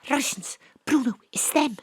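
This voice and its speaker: A woman narrating and playing a bold private investigator, often hushed and conspiratorial. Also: sits high, moderate pace, dry recording. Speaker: narrator and lead. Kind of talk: first-person drama narration. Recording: dry home studio.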